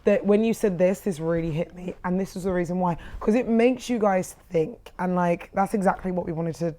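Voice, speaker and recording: A young woman co-hosting a casual podcast chat, a little croaky, bubbly and laughing often. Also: on the low side, a little fast, warm tone. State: croaky voice after a big event. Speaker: podcast co-host.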